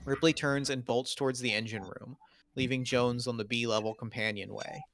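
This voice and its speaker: Man narrating a screenplay, mid-pitched. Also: steady tone, moderate pace, a bit bright, a little hiss or hum. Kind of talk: reading screenplay stage directions.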